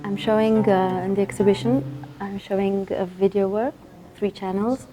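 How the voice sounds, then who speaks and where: A woman video artist from Israel describing her work, reflective and halting. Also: medium pitch, steady tone, over music. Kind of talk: interview.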